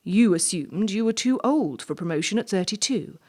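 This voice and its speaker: An actress playing a clinical, pedantic female job interviewer in a stage play, with a clipped, probing and deliberate manner. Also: mid-range voice, expressive, darkish tone, lightly acted. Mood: challenging.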